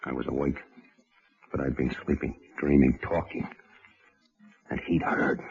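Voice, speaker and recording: Deep-voiced, haunted, nervous ex-convict. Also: male voice, steady tone, gravelly, a little mellow, breathy edge, full character voice. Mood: hushed dread. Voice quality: low-pitched, hesitant voice. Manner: nervous, halting, stammering, brooding. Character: anxious, guilt-haunted ex-convict narrating his obsession. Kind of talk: old-time radio suspense acting. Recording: muffled vintage radio recording with music.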